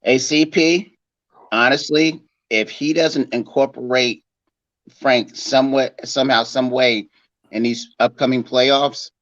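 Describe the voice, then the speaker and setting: A man's voice: medium pitch, steady tone, slow, a bit bright. Male co-host, insistent and repetitive, muffled lo-fi sound. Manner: insistent, repeats his point over and over. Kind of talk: casual sports radio banter.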